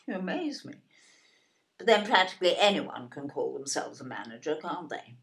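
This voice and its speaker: Posh older southern english mum in radio play, lowish voice that swoops about, gushy and snooty. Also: female voice, big pitch swings, normal speed, vocal fry, a bit bright, full character voice. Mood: disdainful. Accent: southern English, genteel. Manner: gushing, condescending, theatrical. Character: snobbish, gushing, genteel middle-class English mother-in-law type. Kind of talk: audio play acting.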